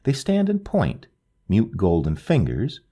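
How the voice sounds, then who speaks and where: A man reading a literary ghost story aloud, slow and hushed, lingering over each phrase. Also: huge pitch swings, breathy edge. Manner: slow, hushed and lingering, with wide swings of pitch. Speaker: audiobook narrator.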